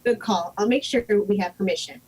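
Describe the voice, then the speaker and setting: A woman's voice: mid-range voice, fairly quick, a little mellow, slightly rough, rough recording. A woman playing a supportive, sensible mother in an amateur audio play. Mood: supportive, wry.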